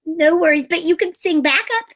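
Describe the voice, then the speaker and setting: A woman's voice: very high voice, fairly quick, fairly bright tone, voice pushed up. A woman voicing a bubbly, chatty young puppet girl in a sunny, sing-song manner during an interview. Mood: cheerful.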